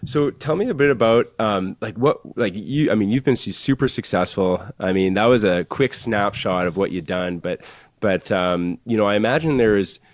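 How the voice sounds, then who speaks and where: A man's voice: on the low side, normal speed, dry recording. A man hosting a podcast interview, asking rambling, hesitant questions full of fillers. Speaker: podcast host.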